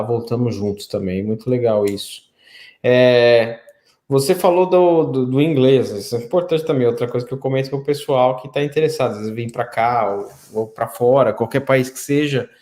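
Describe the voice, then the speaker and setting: A middle-aged man with a Brazilian Portuguese accent speaks as an interview host in an echoey, roomy recording. His pitch is average and his delivery is casual and explanatory, like informal conversation. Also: a little mellow.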